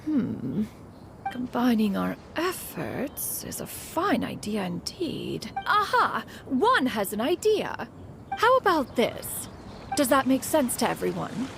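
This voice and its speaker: A woman's voice: fairly high pitch, huge pitch swings, vocal fry, background noise, exaggerated voice. A woman voicing a proud, formal female crane spirit in a game, speaking in a stately, archaic way and calling herself "one". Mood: musing. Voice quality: stately, measured. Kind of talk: game voice acting. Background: game music.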